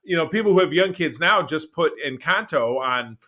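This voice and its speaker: A man's voice: fairly high pitch, animated, moderate pace, sharp tone. A middle-aged man co-hosting a podcast, chatting casually in a rambling, emphatic way. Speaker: podcast co-host. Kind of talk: casual conversational podcast chat.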